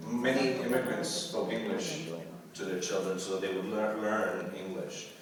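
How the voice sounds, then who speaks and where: A lowish male voice talks through a convention Q&A, circling back, repeating himself and breaking into laughter. He is picked up from a distance in a big echoing hall with a long tail of reverb. Crowd chatter stays clearly audible under him. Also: fairly even intonation, measured pace. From Canada. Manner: rambling, repeats words, laughs often. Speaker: convention panel guest actor. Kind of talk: convention Q&A talk. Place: large convention hall with audience. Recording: echoey hall recorded from afar, crowd noise.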